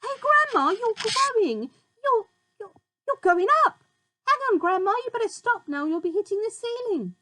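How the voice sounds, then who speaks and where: An eager, excitable young boy from a storybook, chattering in a high, lively voice, as a woman reader puts him on. He sounds bright and boyish. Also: normal speed, few pauses. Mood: excited.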